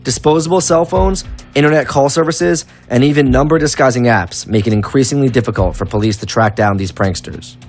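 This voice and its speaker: A man's voice: medium pitch, a little fast, a little mellow. A man working as a documentary reporter, narrating and interviewing on location. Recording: music behind the voice.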